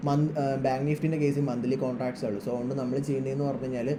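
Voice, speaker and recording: A man presents an informal how-to tutorial at a brisk clip. His voice sits at an average male pitch, and he explains step by step, dropping English terms into his sentences. Clear background noise runs under the recording. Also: flat delivery. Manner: instructional, mixes English trading terms into speech. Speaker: trading tutorial presenter, trader. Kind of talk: informal screen-share tutorial.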